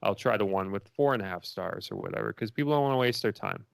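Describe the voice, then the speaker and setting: A man's voice: on the low side, quick pace, vocal fry, no echo, studio quality. A man answering interview questions as a filmmaker in a rambling, informal way full of filler phrases. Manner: rambling and informal, many likes and you knows.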